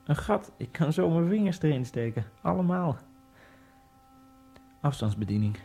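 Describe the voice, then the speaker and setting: Gravelly man in a radio monologue. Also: fairly high pitch, big pitch swings, warm tone. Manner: halting, fragmented inner monologue. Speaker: narrator and main character. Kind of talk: radio drama first-person monologue. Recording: radio drama with faint music.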